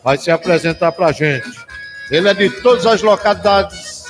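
A man with a Northeastern Brazilian accent hosting a live radio show in a folksy, exclamatory way, chatting and sending shout-outs. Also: sits high, moderate pace, music in the background. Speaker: radio host. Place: small indoor room used as a webcast studio. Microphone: desk microphone close to the mouth, headphones on.

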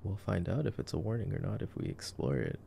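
A young man doing an ASMR boyfriend roleplay as casual movie commentary, talking in a sarcastic, slangy, low-key way. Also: lively intonation, fast talker, gravelly, dark tone, a little hiss or hum.

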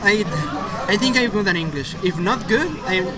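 A man's voice: high voice, expressive, moderate pace, a loud crowd. A man from Mexico with a Mexican Spanish accent, casually commentating a fighting-game tournament in English as a second language, chatty and laughing. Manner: chatty, laughing, playful asides. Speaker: esports commentator. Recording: music playing loudly behind. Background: loud game music.

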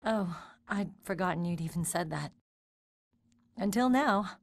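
A woman's voice: lowish voice, animated, a little slow, no room sound, clean studio sound. A woman playing an action hero's grateful love interest in a video game cutscene. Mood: expectant.

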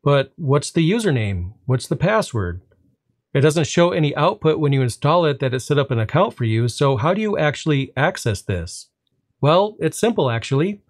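A man hosting a tech tutorial, explaining software step by step in a relaxed way, often pausing on a drawn-out "well" before the point. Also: a little high. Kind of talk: screen-recorded software walkthrough.

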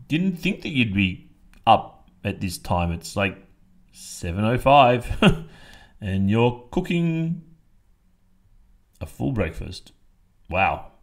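A man's voice: on the low side, full character voice. A young man with an Australian accent playing a stressed, sleepy, sweet-natured student boyfriend in an audio roleplay, muttering drowsily to himself. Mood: grateful, gentle. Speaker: roleplay voice performer.

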